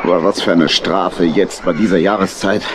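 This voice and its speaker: A man's voice: low voice, fairly even intonation, normal speed, background noise, lightly acted. A man playing a remorseful, worried badger uncle in a children's audio play, sorrowful and sighing. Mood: resigned, determined. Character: grown male badger uncle, remorseful, worried, good-hearted.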